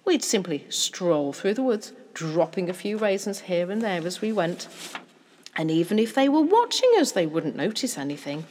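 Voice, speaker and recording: A woman voicing an excitable, scheming and affectionate father of a young boy in a children's storybook, exclamatory and shifting between hushed and booming. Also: moderate pace, long reverb tail. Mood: dreamy excitement. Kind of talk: children's storybook character acting.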